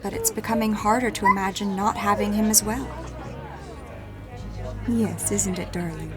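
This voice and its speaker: Actress as genteel fantasy lady, mid-pitched, gentle and considered, music clearly underneath. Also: normal speed, lightly acted. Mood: tender, wistful. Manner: polite, genteel, thoughtful. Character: gentle, well-spoken woman fond of a foundling. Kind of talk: fantasy audio drama acting.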